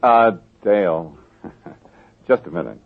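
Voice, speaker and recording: A wry, teasing private eye from a 1940s radio drama. His husky, low voice swings up and down with lively expression. It comes through a thin, tinny old broadcast. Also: takes it slowly, heavily acted. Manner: dry, teasing. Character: wry confident male private detective.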